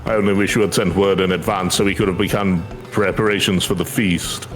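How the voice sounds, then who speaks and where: A man's voice: low voice, fairly even intonation, brisk, a bit bright, soft background music. A man voicing a proud, doting catfolk father from a video game in an effusive, fond manner. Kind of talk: video game dialogue read aloud.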